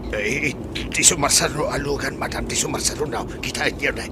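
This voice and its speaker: A man playing an excitable, fussy servant of a mansion in a radio comedy drama. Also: mid-range voice, fairly quick, noisy background, close to an everyday voice. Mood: chatty.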